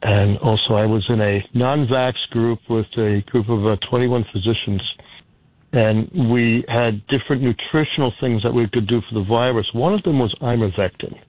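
A man calling in to a radio show, telling a chatty, rambling story with halting restarts. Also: medium pitch, no echo, dull audio. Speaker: radio caller. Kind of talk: phone-in conversation.

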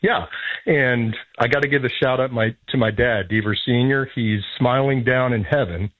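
A man's voice: medium pitch, no room sound. Earnest male caller on a phone line. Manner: earnest, polite, deliberate. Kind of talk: phone-in chat.

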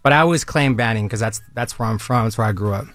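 A man's voice: on the low side, fast, a bit bright, no echo. A man in his thirties from Southern California, a military recruiter speaking as a guest in a radio interview in an upbeat, persuasive, conversational way.

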